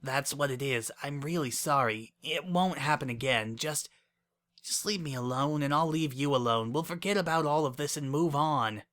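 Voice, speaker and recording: A man's voice: a little high, moderate pace, fairly bright tone, natural voice. A man voicing a flustered, anxious young female pony with a hesitant, awkward manner in a dramatic story reading. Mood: quiet, dejected.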